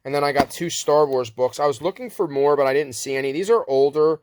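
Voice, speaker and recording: A man's voice: fairly high pitch, brisk, a little mellow, a little hiss or hum. A man giving a casual, unscripted show-and-tell as a reseller, rambling and correcting himself in laid-back, slangy talk. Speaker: thrift reseller showing a haul.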